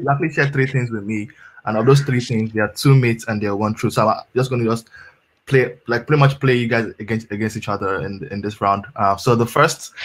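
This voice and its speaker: A man's voice: mid-range voice, fairly bright tone, rough recording. A man moderating a panel discussion in a casual, filler-heavy way.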